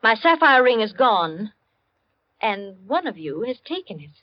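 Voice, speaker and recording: An actress playing an imperious, steely society hostess in a vintage radio thriller, giving deliberate, clipped orders. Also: female voice, fairly high pitch, big pitch swings, a loud crowd. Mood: icy resolve. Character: imperious, steely society hostess demanding her stolen ring. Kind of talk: 1940s radio thriller acting. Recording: dull vintage radio recording.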